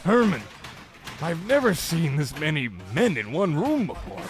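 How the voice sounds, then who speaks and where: A man playing a simple, reluctant young lowlife boxer in a radio comedy, plain-spoken and excitable. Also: a little slow. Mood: sheepish, baffled. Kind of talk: scripted radio comedy acting.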